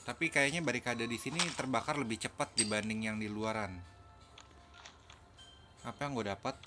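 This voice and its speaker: A man's voice: mid-range voice, moderate pace, music in the background. A man with an Indonesian accent giving casual commentary on a video game he is playing. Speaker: gaming video host. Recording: clear background noise, game ambience.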